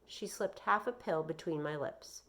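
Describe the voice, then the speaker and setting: A woman reading aloud like a teacher to children, expressive and deliberate in her delivery. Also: sits low. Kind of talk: read-aloud with explanations and questions for children.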